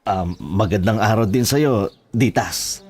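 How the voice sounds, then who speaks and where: A man playing a flattering, smitten leader of a visiting gang in a horror audio drama. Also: on the low side, measured pace, faint music behind, lightly acted. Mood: flustered.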